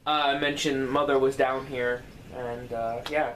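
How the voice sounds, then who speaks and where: A man's voice: medium pitch, flat delivery, normal speed, a little hiss or hum, low-quality recording. A young man casually reading viewer questions aloud to his father. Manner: offhand, reads questions aloud. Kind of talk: casual comment-response chat.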